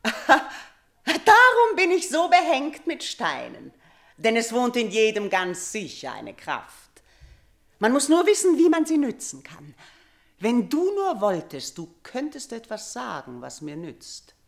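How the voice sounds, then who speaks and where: An actress playing a tormented, superstitious middle-aged queen in a radio play, declaiming theatrically and swinging between hushed dread and outcry. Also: a little high, huge pitch swings, takes it slowly, vocal fry, heavily acted. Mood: intense, secretive. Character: tormented ageing mother and queen, haunted by nightmares, superstitious, imperious.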